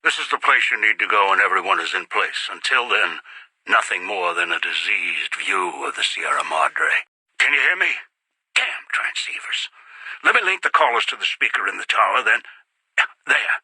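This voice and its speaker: An actor voicing a bitter, obsessive old schemer in a video game, with a gravelly voice and an ominous, deliberate delivery. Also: male voice, low-pitched, lively intonation, bright, forceful tone, no echo, exaggerated voice, low-quality recording. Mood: commanding, impatient. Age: older. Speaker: video game villain and narrator. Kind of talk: dramatic video game voice acting.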